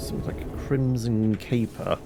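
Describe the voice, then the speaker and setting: A man's voice: mid-range voice, fairly even intonation, unhurried, music in the background. A man giving casual, chatty video game commentary, playfully thinking aloud to himself.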